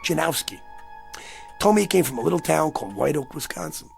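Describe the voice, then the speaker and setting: High-pitched, gravelly, haunted man. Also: very animated, fairly quick, soft background music, exaggerated voice. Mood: haunted, intent. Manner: urgent, pleading. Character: agitated, insistent man haunted by a recurring dream.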